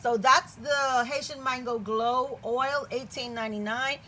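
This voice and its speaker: Excitable, quirky woman for a casual indoor product chat; slightly high pitch, faint background hiss. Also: a little slow, low-quality recording. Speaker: product reviewer. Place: indoors at a table.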